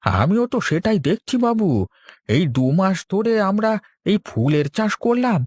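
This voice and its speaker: An actor playing a humble, puzzled old farmer in a cartoon, deferential and hesitant. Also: male voice, high-pitched, sing-song, measured pace, darkish tone, dry recording, studio quality, exaggerated voice. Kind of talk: Bengali cartoon dialogue.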